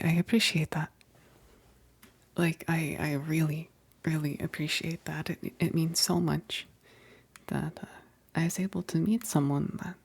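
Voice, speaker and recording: A woman's voice: low-pitched, a little mellow, no room sound, heavily acted. A woman playing an affectionate, self-conscious vampire best friend in an intimate ASMR roleplay, whispering hesitantly and tenderly, close to the mic. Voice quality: breathy, whispery, creaky. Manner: slow, hesitant, halting, tender. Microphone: close, dry microphone.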